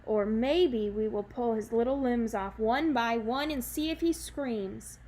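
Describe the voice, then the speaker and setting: Woman doing a towering bellowing giant, slow and cruel, storybook read-aloud. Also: a little mellow. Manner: slow booming threats. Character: huge gnarled bellowing male giant, menacing.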